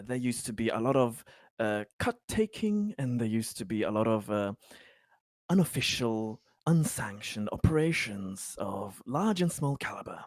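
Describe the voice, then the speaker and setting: Casting: man as fussy male colony official for tabletop game, probing, halting, animated intonation, dry close sound. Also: mid-range voice, no room sound. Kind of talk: improvised tabletop roleplay acting.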